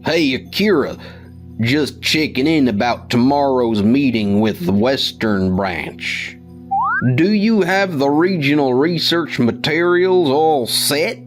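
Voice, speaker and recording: Manga dub: polite, cautious office colleague male actor, unhurried, expressive mid-range delivery over music. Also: medium pitch. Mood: concerned. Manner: polite, earnest. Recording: music under the voice.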